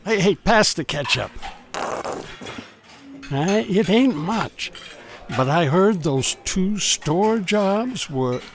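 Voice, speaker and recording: A scruffy, over-familiar informant from a radio drama. His voice sits high for a man and swings around freely. He takes his time, drawling out slang with plenty of cheek. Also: animated, slow pace, long pauses, full character voice. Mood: cheeky, wheedling. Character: cheeky, scruffy male street informant, always hungry. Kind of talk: contemporary radio drama acting.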